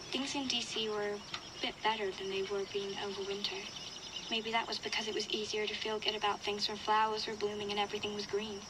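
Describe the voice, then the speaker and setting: An actress playing a wistful young girl survivor, narrating a reflective, measured audio diary for a video game. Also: medium pitch, noisy background, close to an everyday voice. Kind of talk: scripted first-person audio diary narration.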